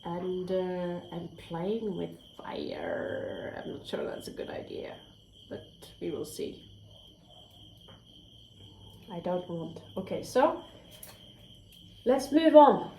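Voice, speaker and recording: A woman's voice: lowish voice, sing-song, slow pace, gravelly, warm tone, a little hiss or hum. A woman giving a casual tarot reading, candid and chatty, swearing freely and dropping into whispered asides. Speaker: tarot reader. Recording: roomy echo, faint crickets.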